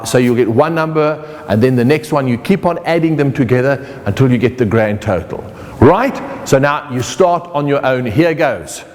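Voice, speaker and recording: Lively South African man in a reverberant hall. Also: a little high. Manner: lively, playful, speaks directly to the viewer. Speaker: motivational speaker and magician. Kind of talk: online course lecture.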